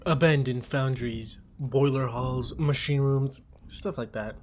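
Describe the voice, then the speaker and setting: A man's voice: mid-range voice, slow pace, slight background noise. A man co-narrating an atmospheric scripted fiction podcast.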